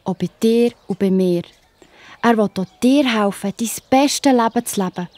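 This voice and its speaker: A woman's voice: a little high, moderate pace, a little mellow, no room sound. A woman with a Swiss accent narrating a children's story, speaking directly to the young listener with wonder.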